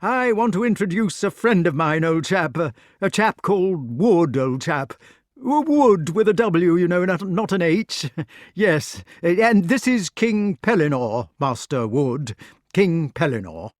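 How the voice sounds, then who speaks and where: A man reading an audiobook, voicing a flustered, blustering old country knight who stammers and rushes his words. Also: sits high, animated, no echo, studio quality, voice pushed up. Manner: stammering, anxious, hasty. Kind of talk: audiobook character voice.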